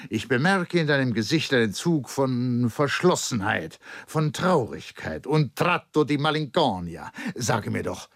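A man's voice: mid-range voice, very animated, a little slow, hardly any pauses, fairly bright tone, no room sound, voice pushed up, full character voice. An overbearing stage magician with a wheedling, theatrical way of talking, as a male audiobook reader puts him on. He pushes his voice upward as he coaxes and insists. The sound is dry and close. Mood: coaxing.

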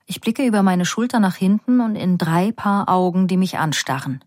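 Woman, audiobook narrator, first-person storytelling, calm, measured, low voice, dry studio sound. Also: no room sound.

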